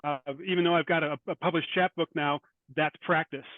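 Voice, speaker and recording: Male interview guest, writer. Slightly high voice, close dry sound, thoughtful stop-and-start delivery. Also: fairly even intonation, no room sound, phone-quality sound. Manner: thoughtful, halting, repeats words. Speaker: guest, short fiction writer. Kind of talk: interview conversation.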